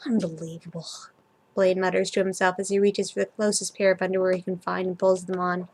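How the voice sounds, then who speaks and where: A woman's voice: fairly low pitch, little pitch movement, fairly quick, a little mellow. A woman reading fan fiction aloud in an informal, amateur way, sometimes stumbling over the words. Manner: informal, stumbles and comments on own slips. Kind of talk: story reading with character dialogue.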